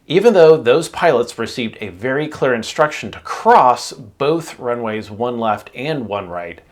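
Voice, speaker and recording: Man narrating an aviation explainer, measured delivery. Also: medium pitch, animated. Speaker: aviation channel host.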